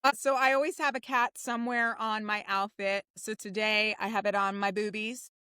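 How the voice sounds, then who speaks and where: A woman's voice: medium pitch, level tone, normal speed, pushed and bright, clear, resonant tone, no room sound, studio quality. An American woman from New Jersey chatting casually as a podcast guest, effusive and rambling, sprinkling in "like". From New Jersey, United States. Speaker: podcast guest, theater writer and director.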